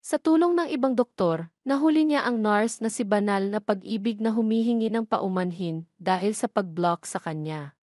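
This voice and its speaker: A woman's voice: mid-range voice, darkish tone, no room sound, studio quality. A woman reading scripted narration for an audio drama love story.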